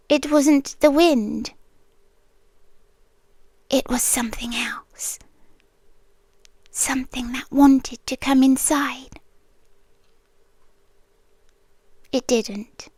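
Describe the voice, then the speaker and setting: High, slow, possessive British monster girlfriend played by a woman. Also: no room sound. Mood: hushed, ominous devotion. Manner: slow, hushed, often dropping into whispers. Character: possessive, obsessively protective female monster girlfriend, stalker guardian. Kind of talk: ASMR roleplay, intimate soft-spoken monologue. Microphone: close ASMR microphone, dry sound.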